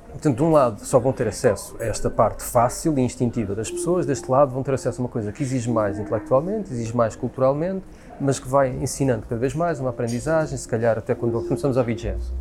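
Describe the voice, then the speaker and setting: A man with a European Portuguese accent talking spontaneously and giving his opinions in an emphatic, repetitive way. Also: mid-range voice. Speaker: speaker sharing opinions in a conversation.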